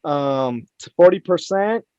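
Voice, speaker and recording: A tipsy man co-hosting a podcast, joking loudly and calling everyone "bro". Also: on the high side, animated, unhurried, smooth, no echo, lo-fi sound. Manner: rowdy, joking, says "bro" constantly. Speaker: podcast co-host. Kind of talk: casual drinking chat.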